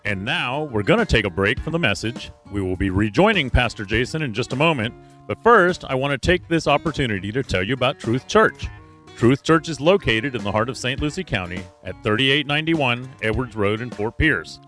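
Man, church announcer, scripted promo read, lively intonation, faint music underneath. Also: mid-range voice, fairly quick. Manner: smooth, welcoming promotional read.

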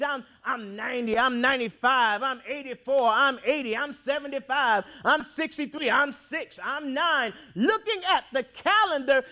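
A man preaching a sermon in a church, with dramatic swings between loud outbursts and quiet, drawn-out phrases. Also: very high-pitched. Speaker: pastor. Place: church sanctuary.

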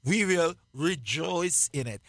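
A man with a Jamaican accent, preaching on a radio broadcast in a slow, emphatic way and repeating key phrases. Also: on the high side, lively intonation, a bit bright, no echo. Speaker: pastor. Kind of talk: radio sermon, co-hosted Bible teaching.

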